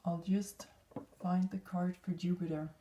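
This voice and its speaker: A woman from Denmark with a Danish accent, giving an unscripted spiritual card reading to viewers in a measured, halting way. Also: lowish voice, flat delivery, warm tone, a little hiss or hum. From northwestern Denmark. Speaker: tarot and oracle card reader.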